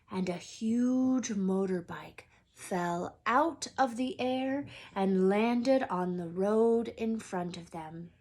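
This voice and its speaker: Female storybook narrator: unhurried, husky-edged, dramatic emphasis and lively swings in pitch. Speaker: teacher reading aloud.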